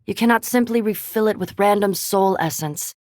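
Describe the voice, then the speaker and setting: A woman's voice: medium pitch, normal speed, darkish tone, no room sound, lightly acted. An actress voicing an erudite, coolly curious female vampire scholar in a fantasy game, speaking formally and precisely with dry intellect. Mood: measured, scholarly. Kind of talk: scripted fantasy game dialogue.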